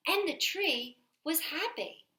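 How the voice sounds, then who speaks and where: A woman's voice: high voice, animated, slow pace, background noise. A woman reading a picture book aloud to children as a story time reader, adding chatty little asides. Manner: chatty asides to young listeners. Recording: roomy, echoey home-style recording.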